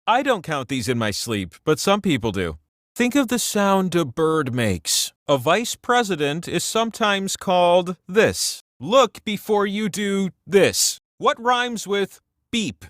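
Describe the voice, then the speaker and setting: A man voicing a whimsical, all-knowing talking wheel that hosts a trivia video game, theatrical and playful, with drawn-out emphasis. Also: high voice, sing-song, a bit bright, no room sound, studio quality, exaggerated voice. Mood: playful, teasing. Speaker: game show host. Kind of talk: scripted trivia game hosting.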